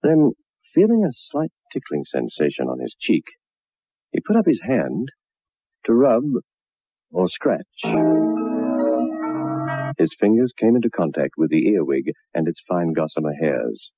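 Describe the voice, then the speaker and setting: An actor as a sardonic storyteller of macabre tales, narrating a vintage radio horror drama in a measured, suspenseful manner. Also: male voice, sits low, huge pitch swings, slightly rough, dry recording, faint music behind, full character voice. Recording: narrow-band vintage 1960s radio recording.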